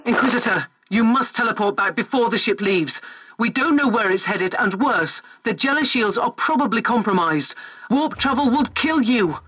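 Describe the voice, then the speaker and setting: A husky, urgent starship captain. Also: female voice, a little high, expressive, moderate pace, fairly bright tone, no echo. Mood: urgent, worried. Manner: urgent, questioning. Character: anxious, dutiful female starship captain. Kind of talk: video game voice acting.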